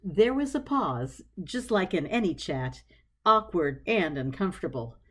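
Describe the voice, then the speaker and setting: A woman narrating a scripted story as an expressive storyteller. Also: fairly low pitch, measured pace.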